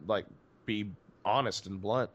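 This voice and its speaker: A man from Tennessee with a faint trace of its accent and a rough smoker's voice, a podcast guest talking bluntly in casual chat with lots of stammered restarts. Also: fairly low pitch, unhurried, a bit bright, highs cut off, a little hiss or hum. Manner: blunt, rambling, many restarts. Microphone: podcast microphone.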